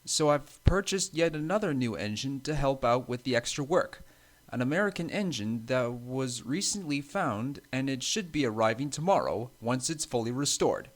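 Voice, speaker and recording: A man's voice: mid-range voice, expressive, normal speed, lightly acted. A man voicing a firm but kindly railway controller who speaks formally, in a children's story. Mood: firm, announcing. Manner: formal, authoritative.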